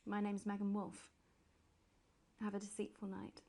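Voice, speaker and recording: A woman's voice: mid-range voice, monotone, moderate pace, creaky, mellow, close to an everyday voice. A woman doing a posh late-night TV hostess who signs off in a polished, silky tone. Her voice sits in a middle register, and a faint hiss runs under it. Her sign-off slides into creepy, pleading devotion. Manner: polished, then pleading and unsettling. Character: posh smooth-talking female TV presenter, creepy and obsessive. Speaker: presenter.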